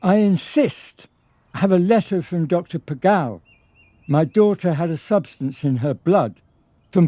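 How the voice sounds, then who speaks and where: Commanding radio drama gentleman, higher-pitched, dark mellow tone, formal, insistent. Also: no room sound.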